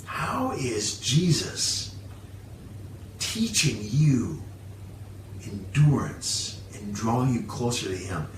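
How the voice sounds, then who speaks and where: A male pastor delivers a sermon with emphatic phrasing and a lively, varied pitch in a medium register. The room echoes around him and the microphone sounds some distance away. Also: slow pace, long pauses. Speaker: pastor preaching. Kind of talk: sermon with scripture reading. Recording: roomy, distant mic, background hiss.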